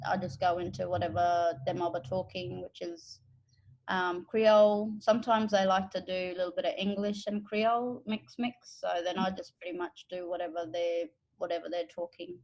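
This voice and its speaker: An Australian woman from the Northern Territory, an educator and interpreter, telling her own story in a relaxed, thoughtful way with pauses. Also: fairly low pitch, highs cut off. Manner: unhurried, thoughtful, with pauses and fillers. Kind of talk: relaxed personal account.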